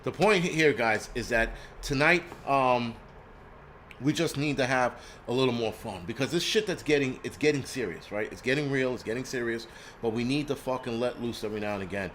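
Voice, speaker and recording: A man's voice: medium pitch, a little fast, a bit bright, slight background noise. A man, hosting a casual live stream in a blunt, profane, rambling rant. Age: 40s. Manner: rambling with frequent restarts. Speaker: host.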